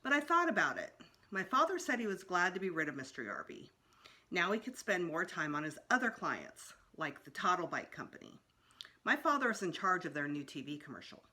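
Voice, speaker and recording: Bright, animated woman reading a children's story. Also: fairly low pitch. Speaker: storytime reader. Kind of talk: expressive children's audiobook-style narration.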